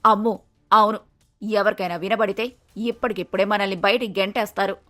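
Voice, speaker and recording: A woman's voice: medium pitch, fairly even intonation, brisk, sharp tone, no room sound, lightly acted. A woman playing a vain, touchy middle-aged mother-in-law in a cartoon moral story, querulous and full of questions. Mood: puzzled, conspiratorial.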